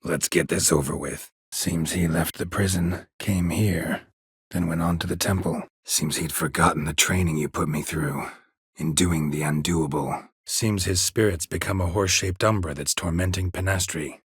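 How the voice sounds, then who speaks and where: A man voicing a gruff, stoic, dry-witted monster hunter in a video game, in a husky rasp with a terse, deadpan manner. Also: low-pitched, normal speed, dry recording. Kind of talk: video game character dialogue.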